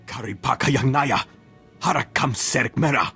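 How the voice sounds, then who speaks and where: A jittery little alien wretch in a video game. The voice is rough and middling in pitch, rambling nervously in made-up syllables over faint music. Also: male voice, normal speed, pushed and bright, heavily acted. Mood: nervous, insistent. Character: jittery, fidgety alien prisoner babbling in invented tongue. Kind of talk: video game cutscene acting.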